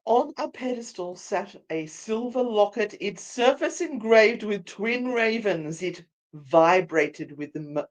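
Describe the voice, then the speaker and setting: A woman's voice: medium pitch, animated, takes it slowly, few pauses. A woman hosting and narrating a dramatic story read in a theatrical, playful way, with casual banter. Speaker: host and narrator.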